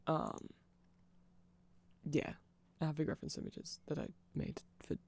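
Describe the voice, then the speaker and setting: Casting: ASMR voice, androgynous mid pitch, gravelly fry, very dry sound, casual and digressive nerd. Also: huge pitch swings, a little slow, a little mellow, no echo. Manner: nerdy, self-deprecating, digressive. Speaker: ASMR creator explaining band lore. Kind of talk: casual rambling ASMR explainer.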